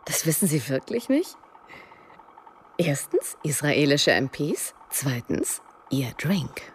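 Very low-voiced, cool woman. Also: sing-song, slow pace, slight background noise, exaggerated voice. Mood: coolly teasing. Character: cool, self-possessed, teasing woman offering drinks. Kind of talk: German radio drama acting.

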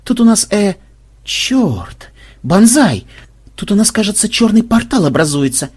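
A narrator voicing a sharp-tongued, suspicious female dark elf, mocking and snappish, in a fantasy audiobook. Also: mid-range voice, sing-song, a little slow, husky, voice pushed up. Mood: uneasy.

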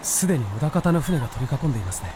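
A woman's voice: very deep voice, sing-song, racing, background noise. A woman voicing an earnest, polite young male fox spirit in a Japanese period radio drama, sincere and deferential. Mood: polite, attentive.

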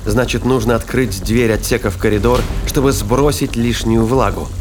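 A man's voice: mid-range voice, normal speed. A man narrating a sci-fi thriller audio drama in a scripted storytelling style. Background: music and sound effects.

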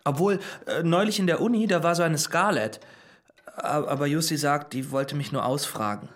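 A man's voice: a little high, moderate pace, lightly acted. A man playing a socially awkward young maths student, hesitant and nervous, in a naturalistic radio drama. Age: young adult.

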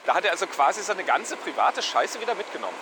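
A man's voice: high voice, big pitch swings, fast talker, noisy background. A man musing aloud in a casual, loosely told monologue.

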